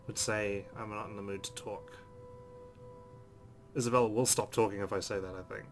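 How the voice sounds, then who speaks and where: A man's voice: fairly low pitch, steady tone, normal speed, faint music behind. A man hosting a let's play, expressively reading a visual novel aloud and adding his own asides.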